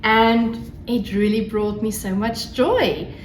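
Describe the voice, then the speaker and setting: A woman's voice: on the high side, fairly even intonation, takes it slowly. A South African woman whose home language is Afrikaans, chatting casually and gushingly as the host of a solo craft podcast. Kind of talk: casual solo vlog chat. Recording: roomy echo, faint background noise. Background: crackling fire, faint noise.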